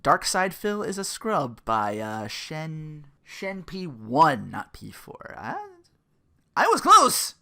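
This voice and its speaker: Animated, bright-voiced podcaster, sardonic and rambling. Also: mid-range voice, slow pace, creaky. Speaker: podcast host and content creator. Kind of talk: casual rambling commentary with acted-out quotes.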